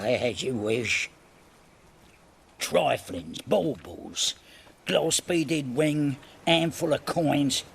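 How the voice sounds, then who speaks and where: An actor playing a coarse, sleazy, foul-mouthed body hauler in a video game, with a croaky voice and a working-class British accent. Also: male voice, a little high, full character voice. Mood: sly. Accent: British regional working-class. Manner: chuckling, crude, swinging between musing and snapping. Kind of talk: video game character acting.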